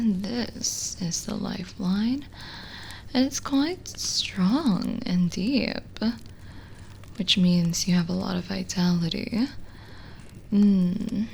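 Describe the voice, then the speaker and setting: A woman playing a lonely, gentle, teasing witch reading palms in a soft-spoken, whispering ASMR roleplay. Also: medium pitch, vocal fry, a little hiss or hum. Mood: curious, soothing. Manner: slow, hesitant, intimate, teasing.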